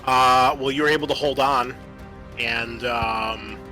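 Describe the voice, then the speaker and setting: A man's voice: medium pitch, fairly even intonation, slow pace, sharp tone. A man speaking casually as game master and host, narrating a tabletop game with informal, hesitant phrasing. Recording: faint music under the voice.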